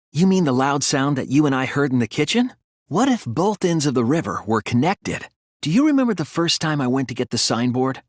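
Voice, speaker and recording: Casting: a thoughtful teen boy sleuth for a game dub; earnest, deliberate, slightly breathy, very dry close sound. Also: mid-range voice, no room sound. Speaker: video game voice actor. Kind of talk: English video game dub, dramatic deduction dialogue.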